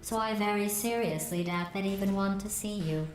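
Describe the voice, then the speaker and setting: A woman playing a sarcastic female AI who runs a test facility. She speaks deadpan, with mockingly sweet cruelty, through a synthetic robotic filter. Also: mid-range voice, moderate pace. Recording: echoey game audio with noise.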